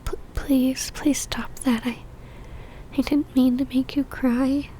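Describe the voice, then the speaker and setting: A woman playing a possessive, lovesick female vampire in an ASMR roleplay, whispering breathily in a halting, pleading and tender way. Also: high-pitched, little pitch movement, mellow, slight background noise. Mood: tearful, apologetic.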